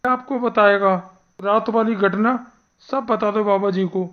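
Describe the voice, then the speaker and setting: A woman playing an earnest, anxious male village headman in an animated horror story, pleading as she speaks. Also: mid-range voice, unhurried, muffled recording, close to an everyday voice. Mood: fearful, pleading. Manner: earnest, imploring.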